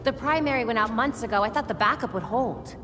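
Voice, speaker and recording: An actress playing a level-headed young female crime-fighter in a video game, frank, practical and steady. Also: on the high side, fast, over loud music, natural voice. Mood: exasperated. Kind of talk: dramatic video game acting.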